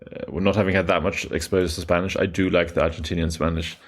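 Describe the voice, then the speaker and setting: A podcast host from Germany speaks with a very deep, low voice. He talks quickly and casually, stopping to correct and rephrase himself mid-sentence. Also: little pitch movement. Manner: rapid, hesitant, self-correcting. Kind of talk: casual interview conversation.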